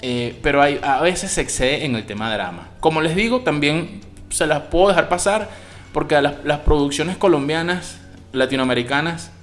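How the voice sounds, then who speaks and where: A man's voice: fairly high pitch, normal speed, faint music behind. Slangy Venezuelan man reviewing a series. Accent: Venezuelan Spanish. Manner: slangy, expressive, swears freely. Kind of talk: casual spoken review.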